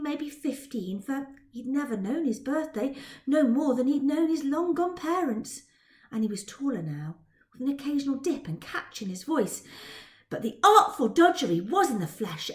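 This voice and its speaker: A woman teacher and author talking to students and reading a story aloud in a dramatic, expressive way. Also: high-pitched. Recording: roomy indoor echo.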